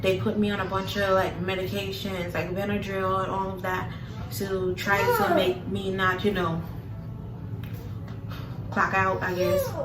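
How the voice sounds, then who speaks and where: A woman's voice: mid-range voice, measured pace, music in the background. Young woman w/ rambling to camera, lots of "like", roomy echo, mic kinda far. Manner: chatty, many "like"s and "literally"s. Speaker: vlogger. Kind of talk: casual storytelling to camera. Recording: echoey room from a distance with background noise.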